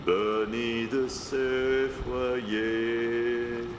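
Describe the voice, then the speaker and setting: A man's voice: medium pitch, little pitch movement, extremely slow. An actor playing a woodsman who sings a mournful old French Canadian folk shanty in a radio drama. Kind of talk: slow folk singing. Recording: distant, echoing, very noisy outdoor ambience.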